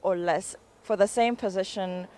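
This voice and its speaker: A woman's voice: medium pitch, moderate pace, darkish tone, dry recording. A woman interviewer asking polite, probing questions.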